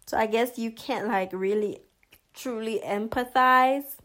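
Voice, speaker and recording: A woman vlogger giving casual commentary in a monotonous voice, hesitant, with many fillers and restarts. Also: a little high, a little slow.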